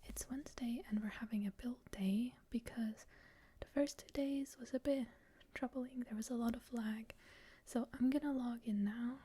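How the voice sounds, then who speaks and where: A woman whispers her way through a game session, breathy and hushed from start to finish. Her tone is dark and mellow despite a slightly high pitch, and she sounds shy and a bit rambling. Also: steady tone, moderate pace. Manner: soft, shy and rambling, with frequent laughs. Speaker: gamer.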